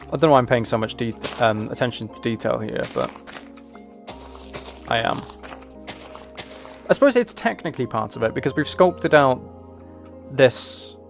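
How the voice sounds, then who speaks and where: A man's voice: medium pitch, creaky. A man chatting casually on a game livestream, rambling and thinking aloud as he plays. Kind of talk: casual chat while playing a game.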